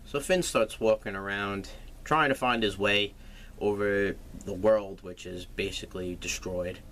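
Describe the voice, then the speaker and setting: Crude, animated male movie reviewer. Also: on the low side. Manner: crude, animated plot retelling with swearing. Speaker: film reviewer, show host. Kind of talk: casual comedic movie review.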